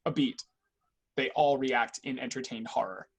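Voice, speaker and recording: A man's voice: mid-range voice, fairly even intonation, normal speed, vocal fry, poor audio. A man reading stage directions as scripted narration for a play on a video call.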